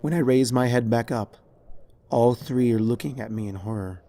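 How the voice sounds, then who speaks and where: A man reading dramatic fiction as narrator in a somber, measured way. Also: medium pitch, dark tone. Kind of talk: dramatic scripted story narration.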